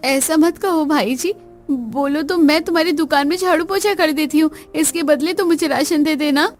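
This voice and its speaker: A woman's voice: high-pitched, normal speed, natural voice. A woman voicing a poor, earnest young daughter-in-law in a cartoon story, polite, pleading and determined.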